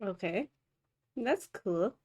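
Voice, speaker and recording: A woman's voice: mid-range voice, lively intonation, extremely slow, a little mellow, breathy edge. A woman live streamer casually reacting while playing a game.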